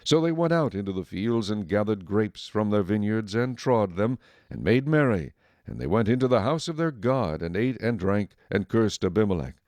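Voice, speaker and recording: A man reads as narrator of a dramatized Bible production. His voice is on the low side, and the sound is dry and close, with little room to it. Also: very animated, moderate pace, darkish tone, no echo.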